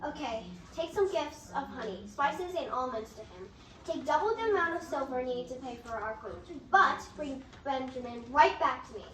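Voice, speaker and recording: A woman's voice: high-pitched, animated, moderate pace, crowd noise. A young-sounding woman playing male roles, a father and a servant, in amateur readers' theatre. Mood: distressed. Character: old father and taunting servant, both male. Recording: distant echoey room, noisy.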